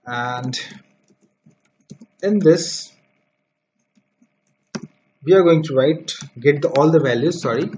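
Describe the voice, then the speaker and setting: A man's voice: a little high, takes it slowly, pauses often, a little mellow. A man teaching programming over a screen recording, dictating code step by step with frequent fillers. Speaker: programming tutor. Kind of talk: screen-recorded coding tutorial. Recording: dull lo-fi mic with faint noise.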